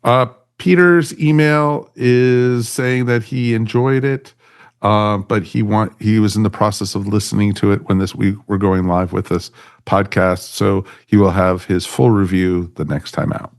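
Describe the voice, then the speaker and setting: Male podcast host, lowish voice, chummy and informal. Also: steady tone, measured pace, gravelly. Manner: chummy, thanks and addresses people as friends. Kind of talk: casual chat, wrapping up a podcast.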